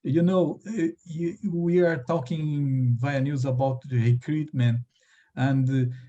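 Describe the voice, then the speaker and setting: Male panelist, mellow tone, average pitch, hesitant, filler-heavy delivery. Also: lo-fi sound. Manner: hesitant, many fillers and restarts. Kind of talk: online panel discussion.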